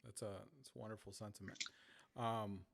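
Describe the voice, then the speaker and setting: A man's voice: fairly low pitch, steady tone, moderate pace, gravelly, noisy background. A man hosting a podcast, asking questions in a loose, informal way and often checking in with the listener. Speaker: podcast host. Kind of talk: casual interview.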